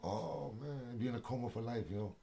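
A middle-aged man from Puerto Rico, a boxing coach chatting casually in an interview, animated and joking with frequent laughs. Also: mid-range voice, moderate pace, a little hiss or hum.

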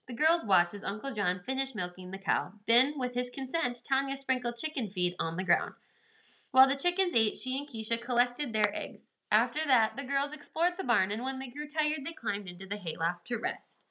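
A woman's voice: fairly high pitch, lively intonation, normal speed, pushed and bright. A woman storyteller reading a children's storybook aloud with expression.

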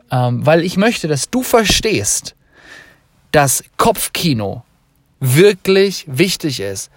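Energetic male podcast host, dry close mic. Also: fairly high pitch, no echo. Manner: energetic, emphatic, repeats for emphasis. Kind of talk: unscripted motivational monologue.

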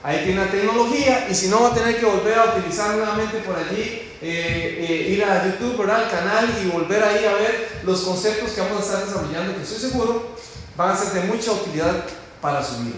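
A Costa Rican man preaches in a high voice, pressing his points with questions to the congregation. The sound rings through a very echoey church hall, with faint noise underneath. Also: brisk, a bit bright. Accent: Costa Rican Spanish. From Costa Rica. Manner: emphatic, asks the congregation questions. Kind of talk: church teaching talk with prayer.

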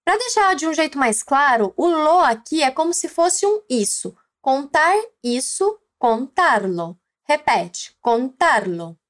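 A woman with a Brazilian Portuguese accent, teaching a language lesson slowly and clearly, prompting the listener to repeat. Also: high-pitched, sing-song, fairly bright tone. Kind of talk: scripted lesson narration.

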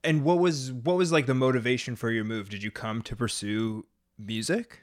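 A man's voice: medium pitch, steady tone, normal speed. A man hosts a conversational interview. He sounds curious as he asks his questions. He hedges and repeats words while working his way toward each one.

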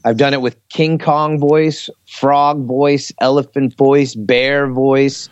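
A man chatting casually and giving teaching advice, explaining things in a hesitant, halting way. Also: medium pitch, level tone, clean tone, no room sound. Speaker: English teacher in Korea, talk show speaker. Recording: slightly dull, lo-fi audio.

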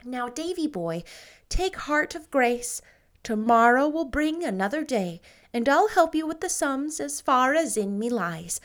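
A woman gives voice to a dreamy, imaginative young heroine in a storybook, her voice lifted high and light. She talks with lively, romantic swoops and a gentle tease. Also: a little slow, exaggerated voice.

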